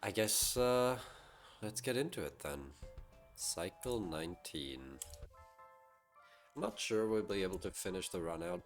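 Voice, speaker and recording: Male streamer, lowish voice, leisurely delivery, casual thinking-aloud game commentary over faint music. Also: expressive, a little slow, long pauses. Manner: talks to chat and muses aloud to himself.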